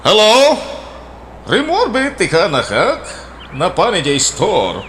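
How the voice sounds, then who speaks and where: A man's voice: high voice, wildly swooping pitch, slow pace, fairly bright tone, full character voice. A man plays a blunt suitor just back from abroad, dropping English into his talk. The voice sits raised and bouncy. A faint hiss runs underneath the radio drama. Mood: brash. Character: returning male emigrant suitor, blunt and forward.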